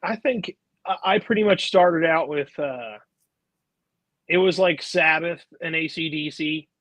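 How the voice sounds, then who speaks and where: A man's voice: on the high side, unhurried, fairly bright tone. A young man chatting casually as a musician guest in an interview, informal and easygoing. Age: young adult. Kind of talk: casual chat.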